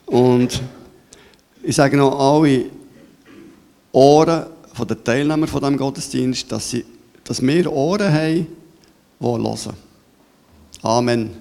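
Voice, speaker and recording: Swiss German pastor, chatty and halting. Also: male voice, mid-range voice, creaky, mellow. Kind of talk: church service interview and prayer.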